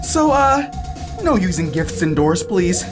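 A woman's voice: lowish voice, very animated, a little slow. A woman acting in an audio drama as an upbeat, patient camp leader, cheery and casual. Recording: music behind the voice.

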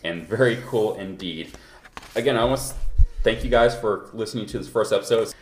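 A man's voice: fairly low pitch, fairly quick, a little hiss or hum. A man from Kansas hosting a podcast in loose, rambling casual chat, feeling a bit unwell. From Kansas, USA. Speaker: podcast host. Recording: echoey room recording.

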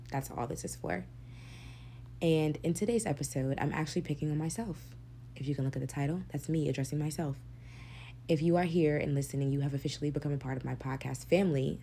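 Solo podcast host, young woman, very low gravelly voice, mellow tone, faint hum under it. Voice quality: deep voice, gravelly. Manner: candid, chatty, swears freely. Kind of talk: casual solo podcast monologue.

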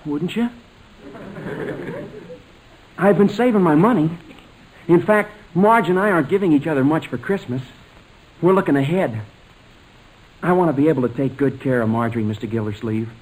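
Actor as an earnest, higher-voiced young suitor. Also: male voice, moderate pace, pauses often, darkish tone, natural voice, rough recording. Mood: earnest, nervous. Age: young adult. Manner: deferential, hesitant. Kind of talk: 1940s radio comedy acting.

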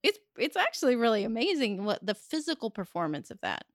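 A woman's voice: on the high side, very animated, no echo, clean studio sound. A woman co-hosting a podcast, chatting casually with a playful tone and frequent laughter. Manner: playful, laughs often, quick reactions.

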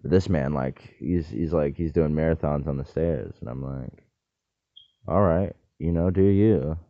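Deep, gravelly man on a tinny line. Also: measured pace, no echo. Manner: meandering, self-interrupting, jokey asides. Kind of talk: rambling solo monologue. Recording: dry, tinny phone-like sound.

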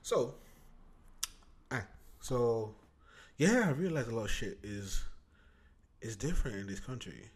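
A man's voice: mid-range voice, very animated, measured pace, long pauses. A young man from Nigeria in his twenties hosting a casual solo podcast from his home desk, rambling, digressing and swearing freely. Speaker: podcast host. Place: home room at a computer desk.

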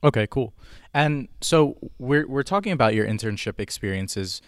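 A man's voice: medium pitch, expressive, moderate pace, no room sound, studio quality. A young man hosting a casual interview, asking easygoing, friendly questions. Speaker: interview host.

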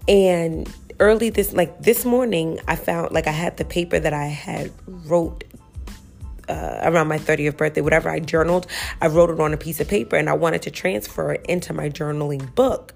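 A woman in her thirties talks through her own podcast in a loose, self-reflective way, leaning on "you know" and "like" as she goes. Her voice sits low and has a gravelly, fried edge, a little raspy from recording early in the morning. Faint music can be heard behind her. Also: normal speed, a little mellow. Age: 30s. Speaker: podcast host. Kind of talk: casual solo podcast talk.

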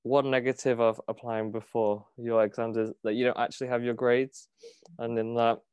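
A boy in his late teens answers interview questions in a measured, casual way. His voice is smooth and clear. The sound is dry and close. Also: medium pitch, flat delivery, a little mellow, no echo. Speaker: student interviewee.

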